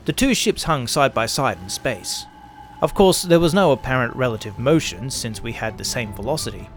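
Medium-pitched man narrating over faint music. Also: expressive, moderate pace. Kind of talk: scripted first-person pulp science fiction narration.

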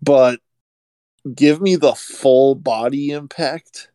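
A man's voice: mid-range voice, takes it slowly, mellow, no room sound. Wry man laying out his case. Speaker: podcast co-host. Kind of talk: casual chat.

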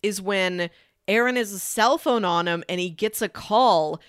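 A woman's voice: medium pitch, unhurried, clean tone, dry recording, studio quality. A woman co-hosting a casual discussion about films, talking in a conversational, informal way. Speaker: film podcast co-host.

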